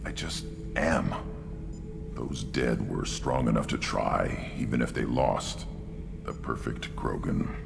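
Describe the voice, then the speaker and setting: A male game actor playing a young, hulking alien warrior who speaks in blunt, brooding phrases with a gravelly voice. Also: very deep voice, slow pace, music in the background, exaggerated voice. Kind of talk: video game voice acting.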